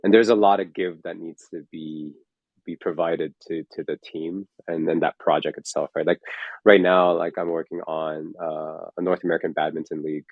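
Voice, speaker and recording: A man's voice: deep voice, level tone, moderate pace, no echo, highs cut off. A man in his forties, a fitness coach, talking in a casual chat, rambling with lots of fillers and restarts. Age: 40s.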